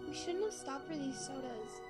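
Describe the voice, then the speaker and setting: Actress as nervous pleading small town girl, really high voice, old time radio drama. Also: animated, normal speed, music in the background. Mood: fretful. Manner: fretful, earnest, pleading. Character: timid, anxious, pleading young small-town lady friend. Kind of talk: 1940s radio play acting.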